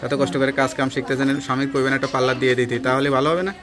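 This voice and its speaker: A man's voice: mid-range voice, completely flat delivery, rapid-fire, crowd noise. A man with a Bangladeshi accent conducting an informal interview as the presenter.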